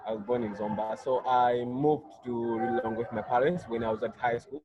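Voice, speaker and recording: A young man with a Malawian accent, speaking as a guest in an interview in a soft, hesitant way over a video call. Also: mid-range voice, steady tone, normal speed, lo-fi sound, background noise. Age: young adult. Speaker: guest artist.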